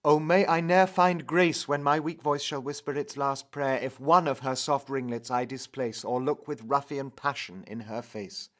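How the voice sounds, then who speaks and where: A man's voice: medium pitch, fairly even intonation, normal speed, hardly any pauses, a bit bright, voice pushed up, lightly acted. A man playing an ardent, lovestruck young knight with impassioned archaic speech in a dramatic audio poem. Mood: impassioned, vowing. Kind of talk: dramatic poetry recital.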